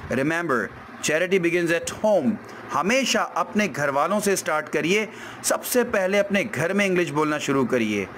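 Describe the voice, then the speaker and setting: A man with a sore throat, teaching a language lesson slowly and repeating each example sentence. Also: fairly high pitch, animated, fairly bright tone, a little hiss or hum.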